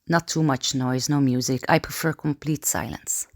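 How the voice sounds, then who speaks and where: A woman from Belgium, a painter calmly reading a personal statement about her work. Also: rumbling low, normal speed.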